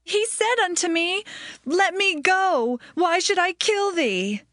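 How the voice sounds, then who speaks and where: Young biblical princess, strikingly high, slow delivery, dramatic archaic diction, dry studio. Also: female voice, animated, a bit bright, no room sound, full character voice. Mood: defensive. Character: young wife of a hunted man, princess. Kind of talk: dramatized scripture acting.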